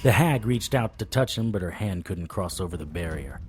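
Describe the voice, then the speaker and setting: Man as a low-voiced, hard-boiled occult hero. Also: expressive, normal speed, darkish tone. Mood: tense storytelling. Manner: flippant, defiant, hard-boiled. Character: cocky, wisecracking male supernatural investigator hero. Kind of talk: audio drama acting with first-person narration.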